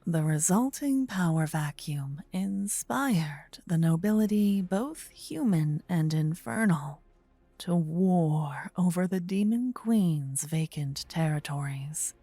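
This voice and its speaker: A woman narrating a scripted fantasy story in a soft, breathy ASMR style. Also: fairly low pitch, animated, slow pace, no room sound, soft background music.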